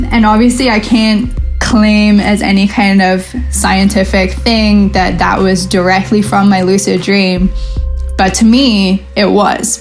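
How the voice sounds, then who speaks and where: Woman guest, relaxed conversational storyteller, middle-range voice. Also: medium pitch. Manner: chatty storytelling, acts out her own past thoughts in quotes. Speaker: lucid dream researcher and coach, guest. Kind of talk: casual podcast conversation.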